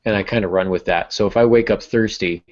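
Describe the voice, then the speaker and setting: A man's voice: fairly low pitch, fairly even intonation, fast talker. A man hosting a podcast, teaching in a casual, conversational style. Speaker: podcast host, writing teacher. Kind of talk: casual instructional talk. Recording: dull lo-fi podcast audio.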